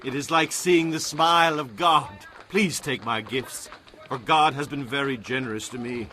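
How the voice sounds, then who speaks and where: A man's voice: mid-range voice, normal speed, bright, forceful tone, slight background noise, natural voice. A man playing an anxious, humble Hebrew patriarch in a dramatized audio Bible, speaking earnestly and deferentially. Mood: humble, insistent.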